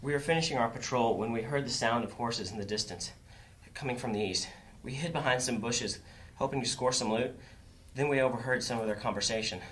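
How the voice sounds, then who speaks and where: A shaken young thief scout, played by a man in a fantasy radio play. He speaks low and urgently, with a mid-pitched voice. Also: brisk, a bit bright, a little hiss or hum, close to an everyday voice. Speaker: actor.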